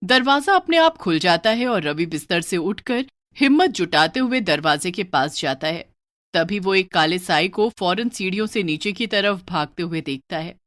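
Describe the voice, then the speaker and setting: A woman's voice: fairly low pitch, animated, moderate pace, fairly bright tone, no room sound, clean studio sound. A woman narrating a scripted horror story.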